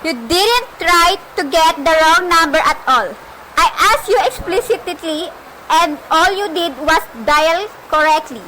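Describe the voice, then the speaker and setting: A woman's voice: extremely high pitch, unhurried. A woman playing a frantic, imperious telephone caller in an amateur suspense radio play, melodramatic and insistent. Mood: exasperated, insistent. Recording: noisy amateur recording with faint hiss.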